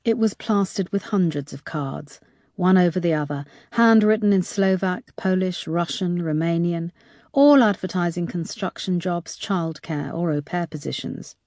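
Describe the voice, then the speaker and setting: Woman reading a crime novel, lowish voice, dry close mic. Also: normal speed, darkish tone, dry recording. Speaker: audiobook narrator. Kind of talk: scripted narration.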